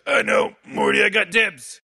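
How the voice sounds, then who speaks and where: A man's voice: high voice, slow pace, gravelly, bright, forceful tone, husky, shouting, heavily acted. A man voicing a cynical old male mad scientist, sarcastic and rambling, stammering over his words as he mocks game characters. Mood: sardonic. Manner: sarcastic, rambling, stammered restarts, barking insults. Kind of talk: comedic commentary on game characters.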